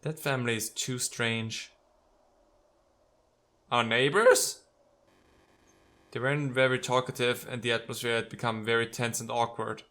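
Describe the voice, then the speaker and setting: A man's voice: medium pitch, sharp tone. A man playing a visual novel, reading its text aloud and adding wry, casual commentary. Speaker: let's player.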